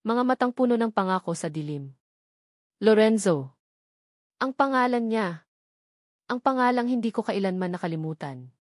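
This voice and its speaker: A Filipino woman reads a romantic drama in the first person. Her medium-pitched voice is emotive, with short phrases set apart for effect. The sound is close and dry, with no room around it. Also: dry recording. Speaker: story narrator.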